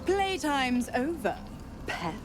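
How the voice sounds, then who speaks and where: A woman's voice: high voice, very animated, over loud music, exaggerated voice. A woman voicing a smug, mocking female devil in a fantasy game, taunting and theatrical as she toys with her servant. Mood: smug, commanding. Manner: taunting, theatrical, drawn-out delivery.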